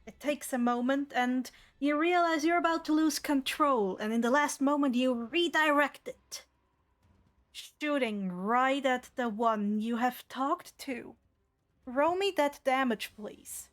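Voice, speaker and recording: A woman's voice: sits high, slow. A woman narrating a tabletop game as game master, telling the story dramatically in the second person. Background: background music.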